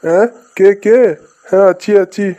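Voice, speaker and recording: A man's voice: high-pitched, steady tone, moderate pace, warm tone, natural voice. A man voicing a teasing, easily exasperated village husband in a comic cartoon, with colloquial, exclamatory speech. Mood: welcoming. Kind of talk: comic Bengali cartoon dubbing.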